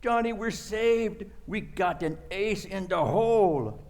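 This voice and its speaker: An actor playing a nervous sidekick doctor in a radio play, pleading in agitated, repetitive broken English. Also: male voice, high voice, expressive, takes it slowly, very noisy, heavily acted. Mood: frantic, excited.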